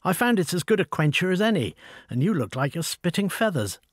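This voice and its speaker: A man voicing a tall, proud older woman with an upper-class English accent, brisk and wry in an audiobook. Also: high voice, big pitch swings, no echo, studio quality, voice pushed up. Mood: commanding. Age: over forty. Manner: brisk, commanding, wry and talkative. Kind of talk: audiobook dialogue.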